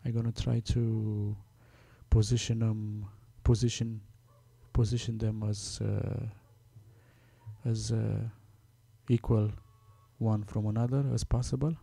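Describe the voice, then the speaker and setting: A man with a Romanian accent, Romanian his first language, talking through a software tutorial step by step, halting with many fillers as he works. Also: lowish voice, level tone, vocal fry, slightly rough, dry recording. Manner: halting, many fillers, thinking aloud while working. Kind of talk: screen-recorded software tutorial.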